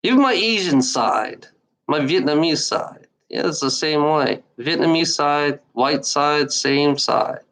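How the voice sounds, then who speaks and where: A woman's voice: sits low, animated, takes it slowly, fairly bright tone. A woman delivering an angry, profane monologue to the camera, repeating phrases and restarting sentences often. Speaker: online commenter.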